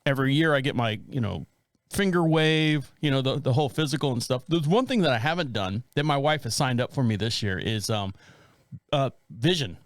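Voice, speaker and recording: A man's voice: medium pitch, lively intonation, fairly quick, no room sound, studio quality. A man in his forties co-hosting a casual chat, telling stories animatedly with frequent laughs. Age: 40s. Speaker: podcast co-host.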